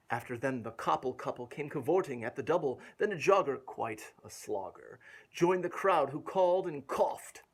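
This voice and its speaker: A man reading a rhyming picture book aloud to young children in a playful, expressive way with dramatic emphasis. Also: medium pitch, gravelly, husky, rough recording. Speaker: children's storyteller.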